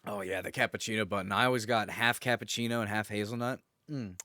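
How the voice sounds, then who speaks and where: A man's voice: lowish voice, steady tone, quick pace, pushed and bright, dry recording. An American man chatting casually as a podcast co-host, in a bantering style.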